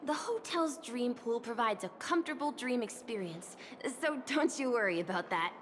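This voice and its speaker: A woman's voice: fairly high pitch, expressive, moderate pace, slight background noise, close to an everyday voice. A woman playing a courteous, earnest, slightly hesitant young hotel bellboy in a video game. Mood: earnest, dreamy. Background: game music.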